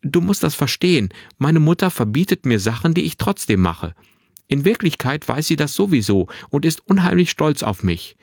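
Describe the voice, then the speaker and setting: Guy doing a cheeky kid of about twelve, clear and pitched up, storybook dialogue, super sure of himself. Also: big pitch swings, normal speed, no room sound, studio quality, exaggerated voice. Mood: confiding. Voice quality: clear, bright. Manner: forthright, unembarrassed, boyishly matter-of-fact. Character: boy of about twelve, cheeky, confident, carefree. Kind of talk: acted dialogue in a narrated story.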